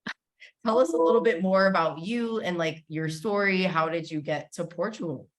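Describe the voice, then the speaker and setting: A woman's voice: on the low side, moderate pace. A woman hosting a live online summit in a chatty, gushing, informal way. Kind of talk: casual live interview hosting. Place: indoors, online video call.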